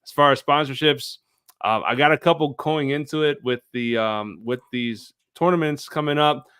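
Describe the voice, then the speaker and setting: Clear-voiced man in casual chat. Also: mid-range voice, moderate pace, a bit bright, no echo, clean studio sound. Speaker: guest, fishing video creator.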